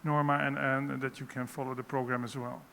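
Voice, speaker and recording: A man's voice: medium pitch, brisk, creaky. Man speaking in an echoey conference hall. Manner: relaxed and conversational. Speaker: workshop facilitator. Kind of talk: conference session talk. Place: conference room. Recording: echoey conference hall, faint background noise.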